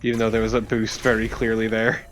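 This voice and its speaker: A man's voice: lowish voice, completely flat delivery, fast, a bit bright. A man giving casual, sarcastic banter as co-commentator on a gaming video, swearing freely. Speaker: co-commentator on a let's play. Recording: game music behind the voice. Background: video game music.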